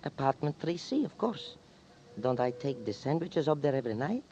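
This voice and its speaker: A man's voice: mid-range voice, big pitch swings, moderate pace, dark tone, close to an everyday voice. An actor playing a friendly, easygoing old male shopkeeper in a 1940s radio drama. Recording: dull 1940s radio broadcast with hiss.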